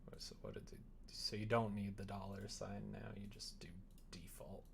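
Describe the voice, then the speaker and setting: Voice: deep voice, level tone, moderate pace, vocal fry, a little hiss or hum. A streamer giving casual live coding commentary, thinking aloud, muttering to themselves and breaking into playful exclamations. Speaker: streamer making a visual novel.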